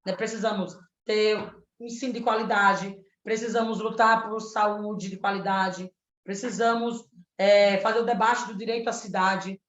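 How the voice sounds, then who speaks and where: A woman in her thirties from Pernambuco, a candidate for the state legislature, answering in a panel debate with an earnest, activist way of speaking and frequent tag questions. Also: fairly high pitch. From Pernambuco, Brazil. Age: 30s. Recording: roomy, dull lo-fi sound.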